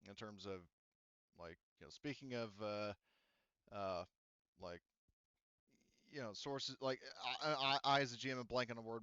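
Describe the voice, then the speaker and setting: Male GM, low smooth voice, casual and rambling, dull lo-fi mic. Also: fairly bright tone, no echo. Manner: casual, lots of fillers and restarts. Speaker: game master. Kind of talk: narrating a tabletop game.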